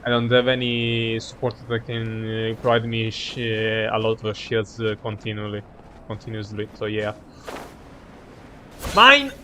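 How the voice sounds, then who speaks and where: A man streaming a game with casual live commentary, halting and rephrasing as he goes. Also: on the low side, bright, forceful tone, a little hiss or hum.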